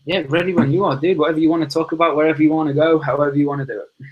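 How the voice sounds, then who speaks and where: This is a man, talking in a loose, enthusiastic, rambling way. His voice sits a little on the high side for a man. He sounds like a guest in a relaxed podcast chat. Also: rapid-fire, dull audio, background noise. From Liverpool, England. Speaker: podcast guest, success coach. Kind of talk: casual conversation.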